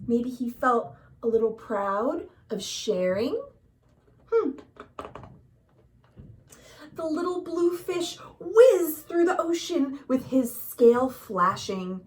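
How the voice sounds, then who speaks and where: High, very animated storytime woman. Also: far from the mic. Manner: dramatic, deliberate, addresses young listeners. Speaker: children's librarian, storytime host. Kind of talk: expressive picture-book read-aloud for children. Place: at home.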